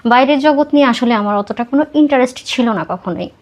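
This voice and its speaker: A woman's voice: sits high, expressive, fast, darkish tone. A young woman with a Bangladeshi accent tells her personal story conversationally in an interview, mixing in English words. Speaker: podcast guest telling own life story.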